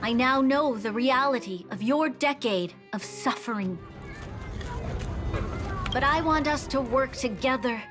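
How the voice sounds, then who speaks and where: A solemn, stately young female leader in a game cutscene, her voice a little high. She speaks earnestly and formally while clear music plays behind her. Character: solemn, resolute young female city ruler. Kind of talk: formal, dramatic video game cutscene acting.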